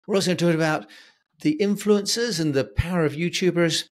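Higher-voiced older host, friendly. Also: male voice, brisk. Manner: friendly, stretches out key words for emphasis. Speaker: podcast host. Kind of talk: interview hosting over video call. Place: home office indoors. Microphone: headset and boom mic.

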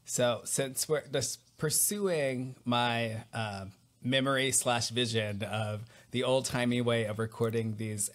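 A man in his forties hosts a podcast over a headset on a video call from home. He asks his questions in a friendly, curious, conversational way. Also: mid-range voice. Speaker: podcast host. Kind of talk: casual interview. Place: home room, indoors.

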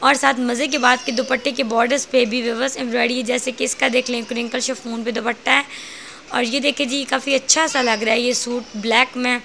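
A woman's voice: on the high side, flat delivery, a little fast, vocal fry, bright, forceful tone, a little hiss or hum. A woman, chatting through a shopping vlog in a clothing shop and listing prices. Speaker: vlogger showing clothes at a shop. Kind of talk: casual shopping vlog narration.

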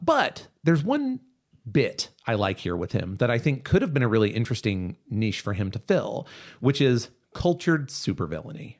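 A man's voice: medium pitch, huge pitch swings, normal speed. A man hosting a podcast, talking casually about pop culture in an analytical, emphatic way. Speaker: podcast host.

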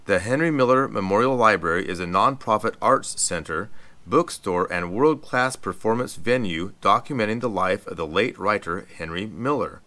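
A middle-aged man reading scripted travel narration in an even, informative guide manner, as a voice-over. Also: on the low side, normal speed. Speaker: travel vlog co-host. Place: voice-over, not recorded on location.

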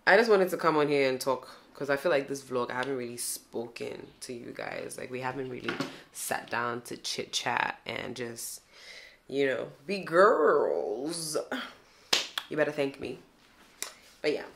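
A woman's voice: very deep voice, expressive, a little slow, vocal fry, a bit bright, slight background noise. A young woman chatting casually to her viewers from home, full of fillers and asides to them. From Ghana. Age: young adult. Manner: chatty, filler-heavy, addresses viewers as guys. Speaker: vlogger. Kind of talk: casual chat to camera. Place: at home indoors.

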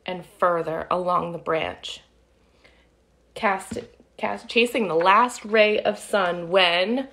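A woman's voice: fairly low pitch, vocal fry. A woman in her thirties reading a picture book aloud to young children, with sing-song delivery and friendly asides. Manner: animated, slow and deliberate, sing-song child-directed delivery. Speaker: children's storytime reader. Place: living room at home, indoors. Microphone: phone or webcam at arm's length.

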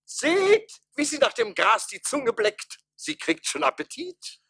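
An actor playing a pompous, henpecked town mayor with theatrical radio play delivery. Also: male voice, very high voice, huge pitch swings, slow pace, sharp tone, slightly rough, no echo, clean studio sound, full character voice. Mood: eager, commanding. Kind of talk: stage-like radio play acting.

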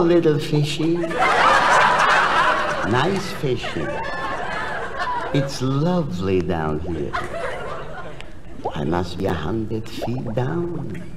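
An actor playing an anxious, thrifty, grumbling everyman in a comedy record sketch, with a deliberate delivery. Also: mid-range voice, full character voice. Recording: noisy, reverberant old comedy record before audience.

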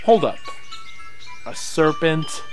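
Man acting a high-pitched, excitable adventurer guy. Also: slow, soft background music. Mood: incredulous, joking. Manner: casual, incredulous. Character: excitable, skeptical, joking young guy on an adventure. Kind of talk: audio drama acting, casual dialogue.

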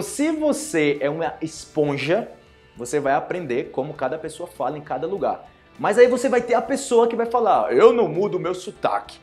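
Animated young Brazilian man teaching. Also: on the high side. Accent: Northeastern Brazilian. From Northeast Brazil. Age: young adult. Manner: animated, gestures a lot, acts out dialogues. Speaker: Portuguese teacher. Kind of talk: online language lesson to camera. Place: small indoor room with plain wall.

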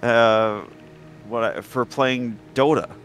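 A man's voice: mid-range voice, fairly even intonation, slow, fairly bright tone. A man playing co-op games and chatting casually as he plays. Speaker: co-op gamer. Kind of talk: casual chat while gaming. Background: faint game music.

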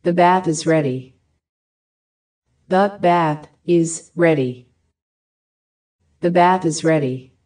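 A woman reading short English phrases for a language lesson, each one repeated with careful, even enunciation. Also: deep voice, slow pace, long pauses. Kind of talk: slow repeated phrase drills.

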